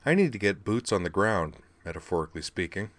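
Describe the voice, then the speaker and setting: A man voicing an exasperated, sarcastic young woman, his voice lifted in pitch. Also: big pitch swings, fast talker, a bit bright, no room sound. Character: exasperated young woman, sharp-tongued tech worker.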